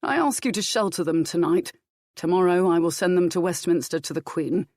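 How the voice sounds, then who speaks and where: A woman voicing a protective royal duke, commanding yet kindly, with measured formal speech in a historical audiobook. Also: lowish voice, darkish tone, no echo, studio quality, close to an everyday voice. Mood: firm.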